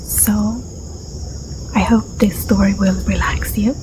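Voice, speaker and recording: A woman with a Swedish accent, a native Swedish speaker, reading a story as soft-spoken ASMR in a gentle, breathy voice that often drops to a whisper. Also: mid-range voice, fairly even intonation. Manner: gentle, unhurried, soothing. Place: outdoors in a garden. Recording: clear outdoor ambience with insect noise. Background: crickets and insects, garden ambience.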